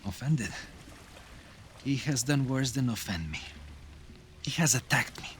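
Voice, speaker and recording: A man playing an embattled Viking jarl in a game, an earnest and measured ally with a coarse tongue. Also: medium pitch, animated, a little hiss or hum, lightly acted. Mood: aggrieved. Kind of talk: cinematic game dialogue. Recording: studio voice over game music.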